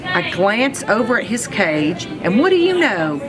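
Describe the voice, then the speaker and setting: A woman reading a children's storybook aloud in an expressive, animated storytelling manner, telling it as a first-person narrator. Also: fairly high pitch, measured pace, over loud music, over a noisy crowd. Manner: animated storytelling with acted sound effects.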